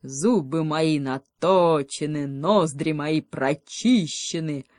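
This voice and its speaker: A woman voicing a boastful, bullying young male wolf cub in a children's story, loud and exclaiming. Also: mid-range voice, animated, measured pace, no room sound, clean studio sound, natural voice.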